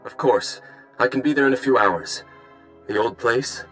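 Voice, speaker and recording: A man's voice: mid-range voice, normal speed, fairly bright tone, soft background music, close to an everyday voice. An actor voicing a stern, righteous middle-aged male warrior monk in a video game, speaking formally and earnestly. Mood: polite, guarded. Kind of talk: dramatic video game cutscene acting.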